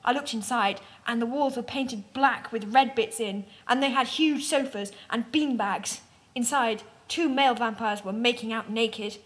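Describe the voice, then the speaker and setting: A woman's voice: fairly high pitch, a little slow. A woman narrating a comic dramatic reading in a mock-serious, theatrical manner.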